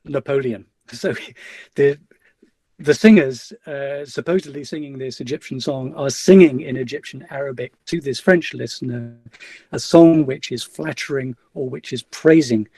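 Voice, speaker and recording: A middle-aged man lecturing over a video call, halting and full of small fillers. His voice is dark and mellow, with a dry, close sound. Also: mid-range voice, husky, dry recording. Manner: hesitant, many fillers and restarts. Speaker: guest lecturer. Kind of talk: academic webinar lecture.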